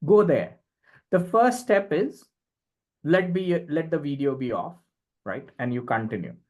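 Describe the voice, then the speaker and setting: A man's voice: a little high, expressive, measured pace, a little mellow. Middle-aged Indian-accented man, emphatic explainer. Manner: emphatic, checks in often with short tag questions. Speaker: podcast guest, communication and leadership expert. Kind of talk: conversational explanation with examples.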